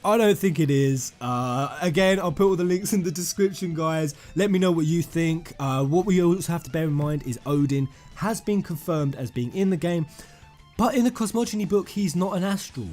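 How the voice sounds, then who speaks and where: A man hosting a fan theory video, rambling and emphatic, addressing his viewers directly in an excited commentary style. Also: fairly high pitch, moderate pace. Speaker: gaming YouTuber, host. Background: music under the voice.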